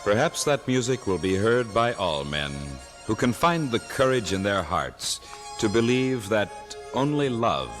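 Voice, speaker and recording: A man playing a gentle, grave, devout carpenter of Nazareth in an old-time radio drama, measured and reverent. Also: on the low side, very animated. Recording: vintage radio broadcast, music behind.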